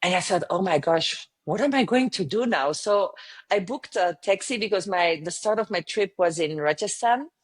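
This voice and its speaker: A woman's voice: mid-range voice, expressive, moderate pace, breathy edge. A woman in her fifties with a French accent, telling her story earnestly as an interview guest, with many hesitations. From south of France. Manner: frequent hesitations and restarts, earnest. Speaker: guest, travel company founder.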